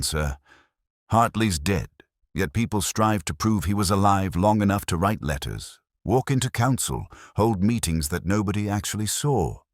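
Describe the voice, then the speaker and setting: A man's voice: low-pitched, no echo, studio quality, voice pushed up. A man voicing a puzzled, earnest police sergeant in a mystery story, questioning and respectful.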